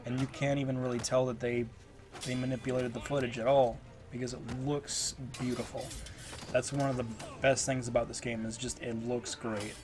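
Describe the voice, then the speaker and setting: A congested man rambles through a casual game review, narrating over gameplay. His voice sits in an ordinary middle range. Loud game music and sound play under his talking. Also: mid-range voice, level tone, moderate pace. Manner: rambling, lots of fillers. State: stuffed up, congested. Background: game footage audio and music.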